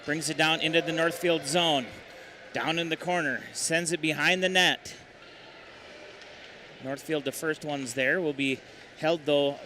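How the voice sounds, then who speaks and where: A man calling a hockey game play-by-play, rattling off each pass and shot at a brisk clip. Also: fairly high pitch, a little hiss or hum. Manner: brisk, rattling off puck movement, excited on goals. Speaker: play-by-play announcer.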